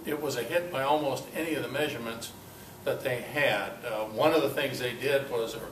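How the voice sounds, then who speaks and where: An older man giving an informal, halting talk to a club, with a self-deprecating, dryly humorous manner. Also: mid-range voice, a bit bright. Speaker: guest speaker at a club meeting. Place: club meeting room, indoors. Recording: roomy, distant mic, noisy amateur recording.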